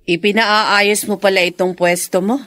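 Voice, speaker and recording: A woman's voice: mid-range voice, steady tone, normal speed, a bit bright, no echo, faint music behind, natural voice. A woman playing a concerned, inquisitive older friend in a Filipino radio drama, with a Filipino accent. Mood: concerned, admiring.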